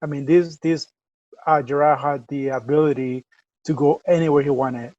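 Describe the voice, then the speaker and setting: A man's voice: fairly high pitch, steady tone, normal speed, darkish tone, no room sound, dull audio. A man with a Salvadoran accent co-hosting a podcast, chatting easygoing and rambling with lots of fillers. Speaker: podcast co-host. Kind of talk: casual chat.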